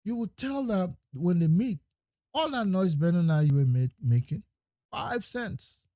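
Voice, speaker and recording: Fired-up man, high dark voice. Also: animated, a little slow, dry recording, clean studio sound. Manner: emphatic, repeats phrases, swings from loud to quiet. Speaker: political commentator. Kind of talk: impassioned political monologue.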